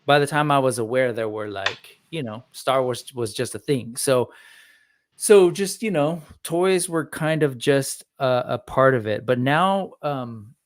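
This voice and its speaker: A man in his forties hosting a casual chat, talking in a relaxed, rambling way with many fillers. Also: medium pitch, measured pace, dry recording. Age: 40s. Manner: relaxed, chatty, lots of fillers and restarts. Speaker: host. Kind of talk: casual chat on a live stream.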